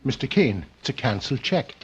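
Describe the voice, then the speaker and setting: A man's voice: medium pitch, big pitch swings, brisk, natural voice. An actor playing a blunt, tough male detective's sidekick in an old-time radio drama. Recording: muffled, noisy old radio recording.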